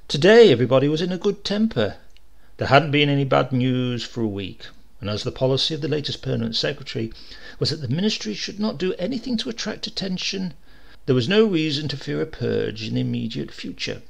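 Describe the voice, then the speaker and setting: A man reading a comic short story aloud as narrator, expressive and lively in his delivery. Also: mid-range voice, fairly quick, vocal fry. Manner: lively, expressive reading with varied character voices. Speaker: audiobook reader.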